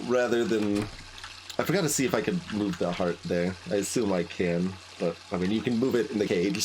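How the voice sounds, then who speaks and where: A man giving casual game commentary as host, thinking aloud in a halting way. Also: lowish voice, a little mellow, slight background noise, poor audio. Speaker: gaming video host. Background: game music.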